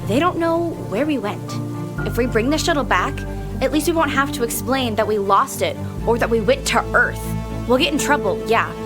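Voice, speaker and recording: Headstrong young space traveler played by a woman, slightly high voice, insistent and determined, audio drama with music behind. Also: expressive, moderate pace, close to an everyday voice.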